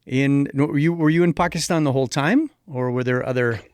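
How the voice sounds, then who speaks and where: A man's voice: mid-range voice, fairly quick, a little mellow, no echo. Man hosting an interview podcast. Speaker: podcast host. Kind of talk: scripted intro and interview.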